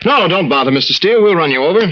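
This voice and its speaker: An actor playing a rugged, devoted lighthouse keeper in a dramatic, earnest old radio drama style. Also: male voice, a little high, expressive, rapid-fire, bright, forceful tone. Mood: tender, awkward. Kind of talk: 1940s-50s radio drama acting, narration and dialogue. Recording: dull old radio recording with background noise.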